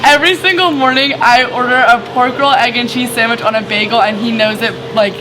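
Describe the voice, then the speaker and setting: High-voiced young woman with music behind. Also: steady tone, a little fast, low-quality recording. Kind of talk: casual interview recollection.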